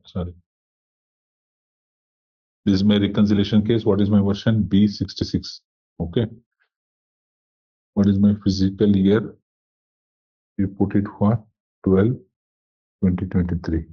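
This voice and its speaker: A man working as a software trainer, walking through a live demo step by step, thinking aloud and asking himself questions. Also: lowish voice, fairly even intonation, unhurried, pauses often, slightly rough, muffled recording.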